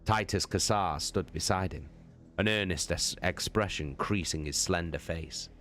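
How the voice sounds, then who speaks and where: A man narrates in a deep voice at a slow, deliberate pace. The reading is solemn and dramatic, like an audiobook, with faint music underneath. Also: fairly bright tone. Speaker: audiobook-style reader, streamer.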